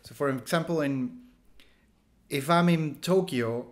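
A man's voice: on the high side, measured pace. Rambling Chilean-accented man on a video call. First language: Spanish. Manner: rambling, frequent "like" fillers and restarts, animated gestures. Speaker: street photographer guest. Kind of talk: casual storytelling in an interview. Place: small room at home, indoors. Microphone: headset on a video call.

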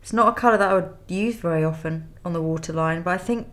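A woman in her thirties with a cold and a nasal voice, calmly talking through a makeup tutorial step by step in a studio. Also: lowish voice, quick pace. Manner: calm step-by-step instruction while applying makeup. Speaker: makeup artist. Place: indoor studio with plain grey backdrop.

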